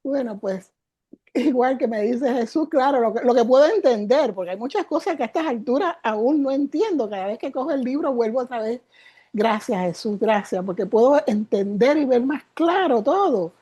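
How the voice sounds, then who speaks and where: A woman's voice: on the high side, animated, moderate pace, dark tone, slightly rough, no room sound. An older woman, a guest teacher, telling her story in an interview with emotion, repeating words for emphasis. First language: Spanish.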